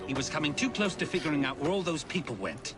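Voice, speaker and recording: A man's voice: a little high, lively intonation, fast, loud music behind the voice, close to an everyday voice. A man playing an evasive, secretly sinister tradesman in a video game. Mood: sinister confession. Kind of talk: video game dialogue acting.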